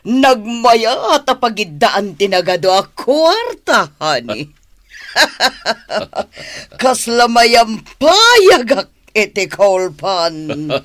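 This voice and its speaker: An actress playing a greedy, coquettish wife with a giggly manner in a radio drama. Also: female voice, a little high, very animated, extremely slow, a bit bright, exaggerated voice. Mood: gleeful, flirty.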